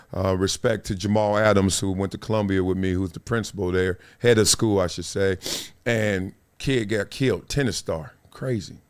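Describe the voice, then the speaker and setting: Middle-aged guy hosting a live stream, playful and animated, loose and chatty. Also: on the low side, smooth. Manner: animated, playful, reads comments aloud. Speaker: show host. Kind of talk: casual live-stream commentary.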